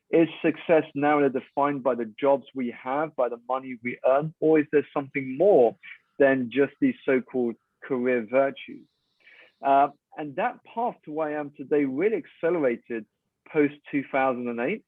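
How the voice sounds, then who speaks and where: Man, guest speaker, measured, articulate, tinny phone-like sound, dry. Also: mid-range voice, dry recording. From United Kingdom. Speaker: guest, keynote speaker and coach. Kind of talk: calm interview storytelling.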